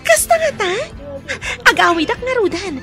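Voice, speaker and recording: A woman's voice: extremely high pitch, full character voice. A woman with a Filipino accent playing a scheming, confiding mistress in an Ilocano radio drama. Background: music.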